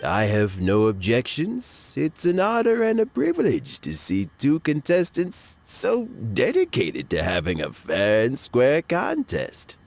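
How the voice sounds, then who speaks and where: An actor playing an elderly male judge at a cooking contest, speaking in a slow, measured, gravelly way. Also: medium pitch, huge pitch swings, heavily acted. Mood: approving.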